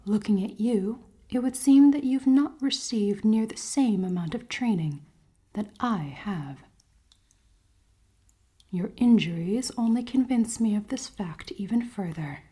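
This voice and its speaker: A woman playing a cold-hearted, commanding elven queen in a fantasy ASMR roleplay, soft and often whispering, with formal, teasing speech. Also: medium pitch, a little slow, husky. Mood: haughty, amused. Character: cold-hearted, dominant elven queen, haughty, secretly caring.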